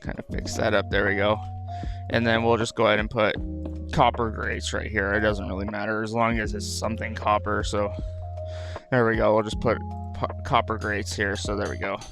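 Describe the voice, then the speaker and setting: A man's voice: fairly low pitch, flat delivery, moderate pace, creaky, over music. A man with a dry, just-woken throat gives casual game commentary, rambling and repeating his phrases. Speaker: gaming video host.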